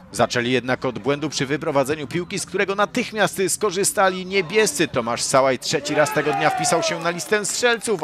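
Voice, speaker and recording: A man reading a scripted voice-over for a youth football match report, lifting his voice at goals and chances. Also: on the high side. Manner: lively commentary, rising at goals and chances.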